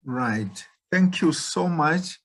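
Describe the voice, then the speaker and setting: Slow, earnest Zambian-raised doctor. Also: male voice, on the high side, lively intonation, a bit bright. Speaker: obstetrician panelist. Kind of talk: online panel talk. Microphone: video call.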